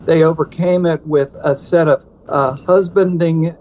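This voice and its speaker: Higher-pitched Texan man with a muffled sound. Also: steady tone, a little slow, darkish tone, slight background noise. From United States. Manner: rambling, self-deprecating, restarts often. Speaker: podcast co-host. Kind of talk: casual conversational podcast.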